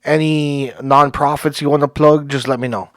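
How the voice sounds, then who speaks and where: A man's voice: fairly high pitch, fairly even intonation, normal speed, no room sound. Effusive male podcast host, dry sound. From Cuban heritage. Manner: effusive, warm thanks, laughs often. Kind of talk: casual podcast interview.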